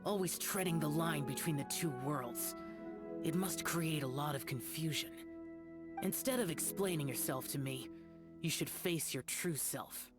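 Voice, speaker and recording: A woman's voice: low voice, measured pace. A cold, curt young male warrior. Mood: stern. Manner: curt, stern, lecturing. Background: game music.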